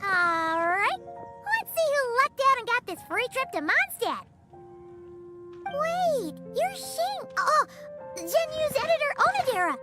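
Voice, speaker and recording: A very high, squeaky little fairy girl, bouncing freely up and down as she chatters. She is a blunt, eager video game sidekick, with music playing clearly behind her. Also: very animated, slow pace, sharp tone, full character voice. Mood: surprised. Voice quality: squeaky, childlike. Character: tiny childlike chirpy fairy companion, female, eager and blunt.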